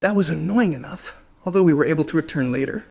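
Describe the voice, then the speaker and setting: An actress playing a spiteful, gossipy middle-aged wife in a crime radio play, scornful and sarcastic. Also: female voice, sits low, lively intonation, fast talker, vocal fry, mellow, exaggerated voice. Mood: sardonic.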